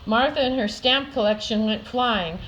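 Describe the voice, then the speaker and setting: An older woman reading a children's picture book aloud with deliberate, dramatic emphasis for young listeners. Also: a little high, a bit bright, slight background noise, poor audio. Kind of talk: expressive children's story read-aloud.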